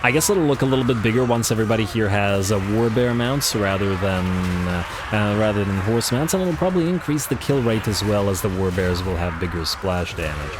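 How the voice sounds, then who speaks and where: A man casually commentating on a strategy game he is playing, chatty with lots of fillers, his voice a little raspy from coughing. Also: fairly low pitch, fairly quick, hardly any pauses, background noise. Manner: relaxed, chatty, frequent fillers and repeated words. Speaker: let's play commentator.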